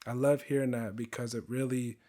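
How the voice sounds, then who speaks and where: A man hosting a casual podcast interview, with a voice in the middle of the usual pitch range. He sounds earnest and keeps his speech loose with fillers like "like". He is quick to affirm his guest and laugh along. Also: steady tone, warm tone. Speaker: podcast host.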